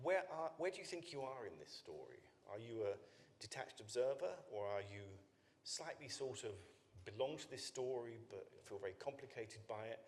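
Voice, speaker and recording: A middle-aged man moderating a live book talk, asking questions in a halting, circling way. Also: fairly low pitch. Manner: halting, circles around questions with many hedged rephrasings. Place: indoor university event room, live talk. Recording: reverberant hall with clear room noise.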